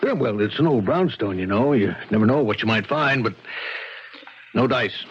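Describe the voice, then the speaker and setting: An actor playing an earnest, plain-spoken police lieutenant with a husky voice and halting, informal cop talk, in a 1970s radio mystery. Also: male voice, medium pitch, lively intonation, a little hiss or hum, close to an everyday voice. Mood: earnest, uneasy. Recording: dull 1970s radio recording.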